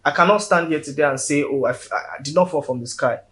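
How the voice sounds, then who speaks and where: A man's voice: a little high, quick pace, fairly bright tone. A man with a Nigerian accent, a guest in a casual interview, speaking earnestly and often repeating words as he builds his point.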